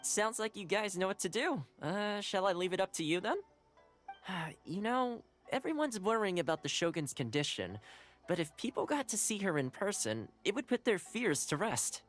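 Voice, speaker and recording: An actor playing a calm, reassuring young male envoy. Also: high-pitched, normal speed, soft background music. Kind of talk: game cutscene dialogue.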